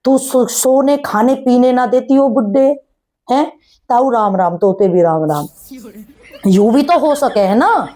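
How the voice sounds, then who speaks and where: A woman's voice: on the high side, lively intonation, unhurried, warm tone. A woman vlogger with a rural Haryanvi-Braj Hindi accent, chatting casually in a teasing, sarcastic way, addressing people directly with rhetorical questions. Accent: rural North Indian Haryanvi-Braj Hindi. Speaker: gossip vlogger. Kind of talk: casual chatty commentary on a family controversy.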